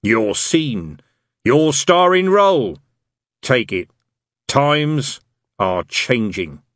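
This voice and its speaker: A man voicing a malicious senior male demon who speaks slowly and coldly. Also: mid-range voice, big pitch swings, fairly bright tone, no echo, studio quality, pitched above the performer's own voice, heavily acted. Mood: menacing. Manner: slow, drawn-out, menacing delivery.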